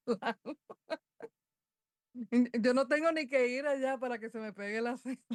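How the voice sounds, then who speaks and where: A woman's voice: medium pitch, no echo, studio quality. A woman hosting a TV talk show, chatty, playful and a little rambling. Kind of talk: casual chat on TV. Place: TV studio.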